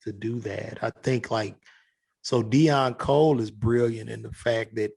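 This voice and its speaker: A man's voice: fairly low pitch, a little slow, gravelly, a little mellow, husky. A man from Chicago's South Side with a Chicago accent, a guest comedian chatting on a podcast in a loose, profane way full of fillers. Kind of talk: casual podcast chat.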